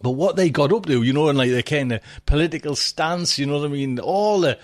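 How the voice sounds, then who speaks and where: A man's voice: a little high, fairly quick. A man hosting a podcast from home, chatting casually and rambling with many asides and fillers. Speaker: podcast host.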